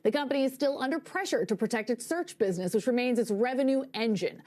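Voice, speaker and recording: A woman's voice: a little high, fast talker, a little mellow. A woman delivering a scripted business news report for broadcast, as a reporter.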